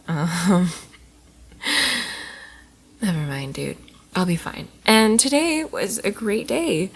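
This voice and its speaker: A woman playing a tipsy, playful college girl in an intimate ASMR roleplay, rambling and teasing affectionately, often soft and whispery. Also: on the low side, husky, exaggerated voice.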